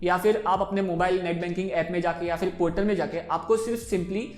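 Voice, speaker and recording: A man's voice: sits high, fairly even intonation, brisk, darkish tone. A man in his twenties with an Indian accent, explaining things informally and directly to viewers as a finance show host, switching between two languages. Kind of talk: explainer to camera, mixing Hindi and English. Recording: roomy echo, faint music behind.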